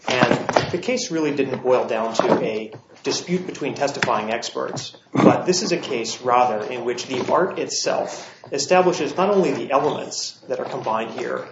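A man with a slightly scratchy voice, an attorney making an oral argument in court and pausing often with fillers. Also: medium pitch. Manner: measured, with frequent filler pauses. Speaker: appellate attorney. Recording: dull, roomy courtroom recording.

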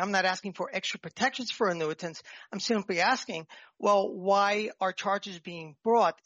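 A man with a New York accent giving a formal, halting and nervous oral argument as a petitioner in court. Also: high voice, a bit bright, no echo. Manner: halting, nervous, jumping between points. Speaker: petitioner arguing own appeal. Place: appellate courtroom. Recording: dull courtroom microphone, dry sound.

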